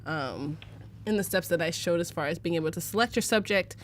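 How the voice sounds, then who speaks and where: A young woman from Arizona walks viewers through a photo edit on a live stream. She talks casually with lots of "like" and "um", often laughing. Her voice sits slightly low, with a faint hiss behind it. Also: a little fast. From United States (Arizona). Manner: casual, chatty, fillers like "like" and "um", laughs easily. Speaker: livestream presenter teaching photo editing. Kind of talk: live software tutorial.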